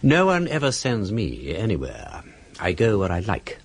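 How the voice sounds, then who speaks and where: A man playing a mysterious, teasing gentleman sleuth in a period radio drama, arch and sardonic, with an upper-class English accent and a husky, breathy voice. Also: on the low side, huge pitch swings, moderate pace, full character voice. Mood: cool, sardonic.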